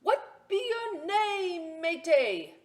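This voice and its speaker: A woman's voice: very high voice, sing-song, extremely slow, darkish tone, voice pushed up, full character voice. A woman voicing a boisterous male pirate captain in exaggerated pirate talk, drawing out her bellowed lines. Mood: bellowing.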